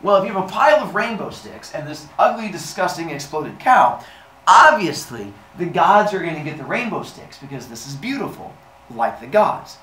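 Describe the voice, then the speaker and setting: A man puts on a blustering god from a comic myth. He is bossy and quick to temper, pitched up and swinging widely, with room echo around him. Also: sing-song. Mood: pompous, bossy. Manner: loud, blustering, dismissive. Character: pompous, bossy, hot-tempered male sky god. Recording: echoey room with background hiss.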